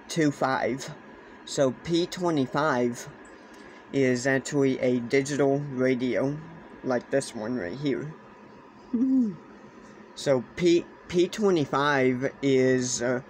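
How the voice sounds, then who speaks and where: Man, slow pace, halting, repetitive phrasing, casual hobbyist demo, handheld camera, noisy background. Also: mid-range voice. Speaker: hobbyist presenter. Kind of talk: casual explainer demonstration. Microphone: handheld camera or phone. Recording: clear steady background noise, handheld camera.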